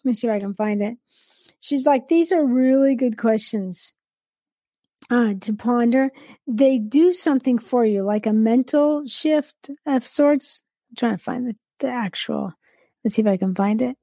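A woman's voice: fairly high pitch, measured pace, warm tone, no room sound. A middle-aged woman hosting a live solo podcast, talking in a candid, rambling way with many restarts. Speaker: podcast host.

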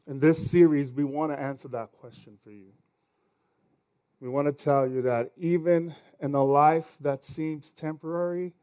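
A man's voice: medium pitch, a little mellow. A man with a Nigerian accent preaching a sermon as pastor, conversational and now and then stumbling over words.

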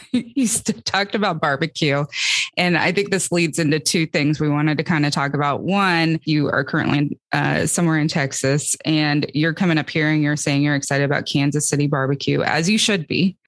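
A friendly podcast host talks easily with the guest and reads out event details. The voice sits at a middle pitch. It is recorded close and dry, with no room sound. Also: moderate pace, a bit bright, dry recording. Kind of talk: casual podcast interview.

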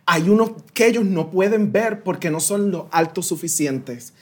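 A man's voice: high voice, animated, moderate pace. A Puerto Rican man in his thirties, a guest consultant explaining ideas animatedly with everyday analogies and some English words mixed in. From Puerto Rico. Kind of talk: interview explanation.

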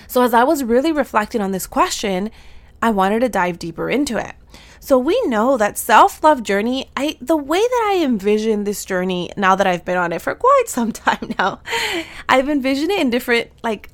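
Woman, 30s, podcast host, slightly nasal, a bit raspy, upbeat, affirming, higher voice. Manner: bubbly, affirming, with slang and laughs. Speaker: podcast host and soul mentor. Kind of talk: upbeat solo podcast talk.

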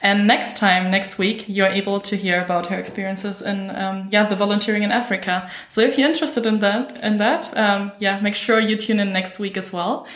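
A woman's voice: medium pitch, steady tone, a little fast, few pauses, a bit bright. A woman hosting a podcast, chatting casually as an interviewer and affirming what is said. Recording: roomy echo, faint music.

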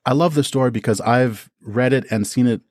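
A man's voice: medium pitch, fairly quick, darkish tone, no room sound, studio quality. A man answering interview questions as a podcast guest, explaining his craft conversationally and with enthusiasm. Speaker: audio drama producer and performer, podcast guest.

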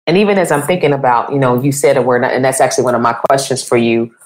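A woman's voice: rumbling low, fast, a little mellow, poor audio. A woman hosting an interview podcast, asking questions in an encouraging, enthusiastic manner. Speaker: podcast host.

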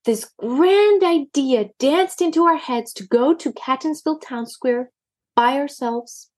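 A woman's voice: high voice, unhurried, darkish tone, no echo, voice pushed up. A woman voicing a bold, curious young girl kitten who talks in eager exclamations. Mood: chatty.

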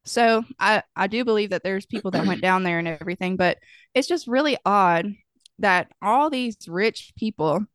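A woman's voice: medium pitch, moderate pace, clean tone, dry recording. A young woman co-hosting a podcast in casual chat, a bit nasal from a head cold, rambling and giggly. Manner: rambling, giggly, lots of likes and ums. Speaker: podcast co-host.

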